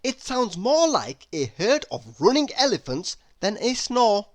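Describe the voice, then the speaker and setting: A storybook narrator reading a children's tale aloud in an animated, expressive way. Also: mid-range voice, a little slow. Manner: animated, expressive, with emphatic sound words. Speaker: storybook reader.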